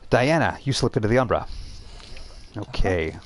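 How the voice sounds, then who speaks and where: Male game master, deep-pitched, describing scenes off the cuff with a halting, word-hunting delivery. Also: expressive. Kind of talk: narrating a live tabletop role-playing game, improvised.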